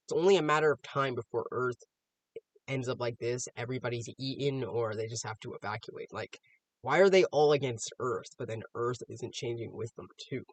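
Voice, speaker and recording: A man's voice: mid-range voice, normal speed, no room sound, poor audio. A man giving casual, off-the-cuff reaction commentary, musing aloud and posing rhetorical questions. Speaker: reaction video host.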